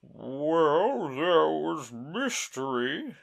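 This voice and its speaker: A reader voicing a sorrowful, sobbing male Mock Turtle in a storybook reading, with a slow, mournful drawl. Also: medium pitch, big pitch swings, creaky, voice pushed up, full character voice.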